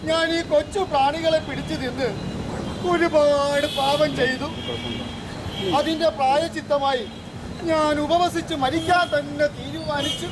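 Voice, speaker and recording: A crafty old crane sighs out his false grief in a high, slow, pious voice, as a man puts him on for a street puppet show. A loud crowd and traffic noise surround him outdoors. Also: steady tone. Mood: mournful. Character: scheming old male crane feigning grief.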